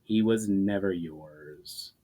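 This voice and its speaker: A man's voice: low voice, takes it slowly, mellow. A man voicing an amused, self-assured warrior who taunts his foe in a fantasy story reading. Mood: amused, taunting. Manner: mocking, amused.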